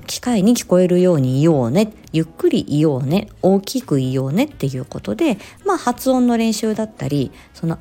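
A woman speech therapist telling stories in a casual, expressive solo chat, acting out short quotes as she explains. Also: deep voice. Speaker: speech-language therapist hosting an audio diary.

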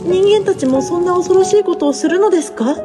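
A woman's voice: very high voice, brisk, over music. A woman voicing a timid, devoted female servant in a voice drama, speaking anxiously and deferentially. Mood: worried. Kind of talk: voice drama acting.

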